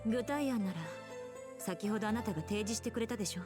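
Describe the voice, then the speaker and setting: A woman's voice: fairly high pitch, very fast, lightly acted. An actress playing a stern, resolute woman. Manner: firm, decisive. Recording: game audio under music. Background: game music.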